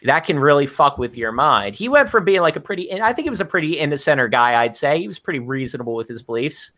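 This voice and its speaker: A man with a high-pitched voice telling a story as a podcast guest, swearing freely and ranting. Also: big pitch swings, quick pace, bright, forceful tone. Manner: profanity-laced ranting. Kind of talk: profane casual storytelling.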